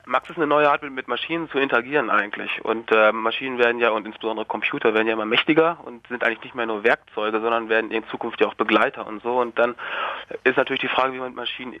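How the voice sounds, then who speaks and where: A man's voice: medium pitch, fast. A man speaking as an expert guest, informally explaining things over a dull phone line. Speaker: AI researcher, interview guest.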